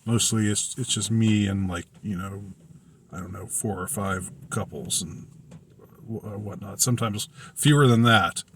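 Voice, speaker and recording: A man giving a casual, unscripted movie review in a halting, hesitant way, often trailing off and circling back. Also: fairly low pitch, fairly bright tone. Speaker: film reviewer.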